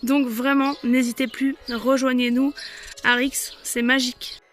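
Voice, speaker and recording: High-voiced woman urging listeners on. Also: little pitch movement, a little slow, soft background music. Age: 30s. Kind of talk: testimonial.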